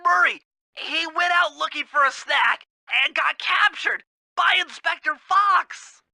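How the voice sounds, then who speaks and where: A young, brainy turtle sidekick, nervous and precise. The voice is nasal, high and very bright, recorded dry for a cartoonish game. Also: male voice, measured pace, gravelly, no echo, full character voice. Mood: panicked. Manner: earnest, precise, easily panicked. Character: nerdy, anxious young male turtle brain.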